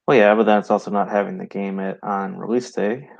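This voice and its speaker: Low-pitched, easygoing American man chatting. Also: fairly even intonation, fairly quick, creaky, darkish tone. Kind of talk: casual chat.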